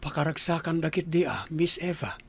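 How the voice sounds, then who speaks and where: A man's voice: a little high, animated, a little fast, creaky, breathy edge, full character voice. An actor playing an earnest male boss courting his employee in a Filipino radio drama. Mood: earnest wooing.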